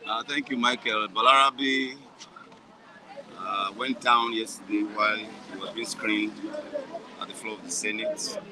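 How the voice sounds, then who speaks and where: A man giving measured, somewhat hesitant commentary as an interview guest. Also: on the low side, fairly even intonation. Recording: crowd noise behind.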